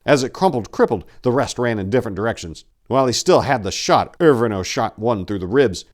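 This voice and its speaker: A man narrating dark fantasy horror as a dramatic reading, with a grave, intense, theatrical delivery. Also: mid-range voice, lively intonation, fairly quick.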